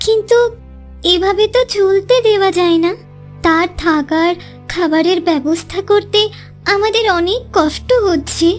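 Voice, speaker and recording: The kindly little hen bird in a children's cartoon, piping very high and earnest from her nest over soft music, acted by a woman. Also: measured pace. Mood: fed up. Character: small kind, caring female bird, dutiful wife. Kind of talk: scripted cartoon dialogue.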